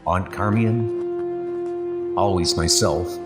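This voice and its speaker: A man's voice: low-pitched, slow. A man voicing a young British midshipman narrating his wartime diary in a subdued, introspective way. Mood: reflective. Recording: voice over loud background music.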